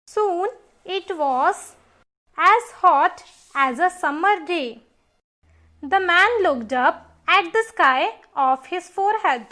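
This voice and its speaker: High, bright woman storyteller. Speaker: storyteller and teacher. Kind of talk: scripted children's story narration.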